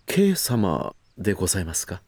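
An actor playing an attentive, deferential male servant in a voice drama, speaking in a very formal, courteous, measured way. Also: low voice, huge pitch swings, a little mellow, no room sound, heavily acted. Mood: calm, discreet. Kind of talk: Japanese voice drama acting.

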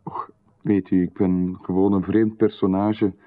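A man's voice: deep voice, flat delivery, a little slow. An actor playing a pushy, overly familiar male stranger in a radio drama, insistent and ingratiating, with stammered restarts and little chuckles. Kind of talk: 1990s radio drama acting. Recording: lo-fi radio broadcast, phone-like band.

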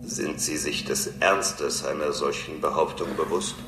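A man's voice: very deep voice, steady tone, unhurried, fairly bright tone, faint music behind. A male actor playing a newly built robot in a radio play, coolly logical, formal and stubborn as it questions things. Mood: coolly questioning. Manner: formal, stubborn, matter-of-fact. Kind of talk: German radio play acting. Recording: roomy, clearly noisy radio drama recording.

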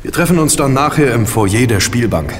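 A man's voice: mid-range voice, lively intonation, moderate pace, slight background noise, close to an everyday voice. A man playing an alert, matter-of-fact young sleuth in a German audio drama. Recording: long hall reverb, music underneath.

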